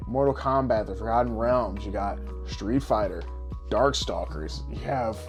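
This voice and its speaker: A man's voice: on the low side, a little slow, faint music behind. A man co-hosting a podcast in casual, blunt, slangy chat with plenty of swearing. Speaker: podcast co-host. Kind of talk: casual podcast chat about games and movies.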